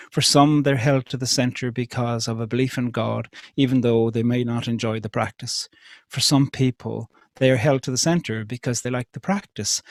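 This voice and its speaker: An Irish man speaks at a calm, considered pace, posing question after question with gentle hesitations between them. His voice sits at an everyday middle pitch. The sound is dry and close, with no room echo. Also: creaky. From Ireland. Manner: measured, thoughtful, many rhetorical questions and soft hesitations. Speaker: speaker at an interfaith event. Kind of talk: reflective public talk.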